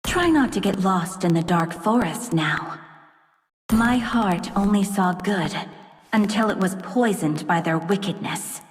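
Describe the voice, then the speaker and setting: Woman acting a dark fairy-tale queen, reverberant. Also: mid-range voice, expressive, a little hiss or hum, lightly acted. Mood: cold resolve. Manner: regal, cold, dramatic, measured. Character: dark fairy-tale princess turned proud, vengeful queen. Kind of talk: video game character voice lines. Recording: long reverb, music behind.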